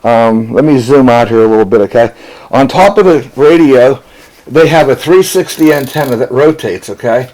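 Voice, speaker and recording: A man's voice: medium pitch, normal speed, a little mellow, rough recording. A man who is a ham radio operator, casually demonstrating radio gear to a camera, folksy and full of fillers and "okay?" check-ins. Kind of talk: casual product demo to camera.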